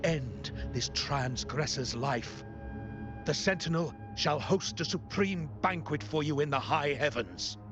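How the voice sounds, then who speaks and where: Creaky, theatrical zealot villain. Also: male voice, a little high, music in the background. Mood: commanding, gloating. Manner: theatrical, drawn-out, sliding into whispers. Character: sinister male zealot villain, theatrical, silkily menacing. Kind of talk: video game story dialogue.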